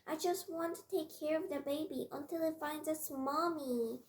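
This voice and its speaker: A woman voicing a timid, tearful, tender-hearted schoolgirl in a read-aloud story, pleading haltingly. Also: sits high, darkish tone, voice pushed up. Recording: roomy echo.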